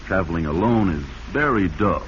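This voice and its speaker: A man's voice: sits low, expressive, moderate pace. An actor playing a discontented, scheming man in a 1940s radio drama. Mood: polite, affable. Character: discontented, scheming man coveting his rich aunt's money. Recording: muffled, noisy 1940s radio recording.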